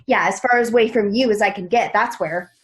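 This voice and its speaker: A woman's voice: medium pitch, brisk, natural voice. A woman reading the part of a guarded, hot-tempered woman in a TV drama table read, blunt and casual. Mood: furious.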